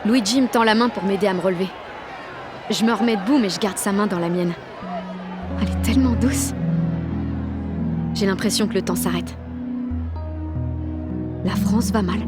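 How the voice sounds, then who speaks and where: A woman playing a brash, excitable sixteen-year-old girl with grandiose ideas, narrating an audio drama in slangy, dramatic first person. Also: mid-range voice, close to an everyday voice. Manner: slangy, cocky, dramatic teenage delivery. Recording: music and street crowd behind the voice.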